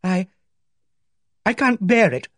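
A man's voice: a little high, huge pitch swings, unhurried, darkish tone, husky, dry recording, clean studio sound, voice pushed up, exaggerated voice. A man reading aloud, voicing a timid, nervous elderly spinster lady who speaks tremulously and hesitantly.

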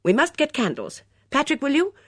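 A woman voicing a cheerful, composed lady of the house in an audiobook, with a brisk manner. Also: high voice, very animated, a bit bright, no echo, clean studio sound, pitched above the performer's own voice. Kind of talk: audiobook character acting.

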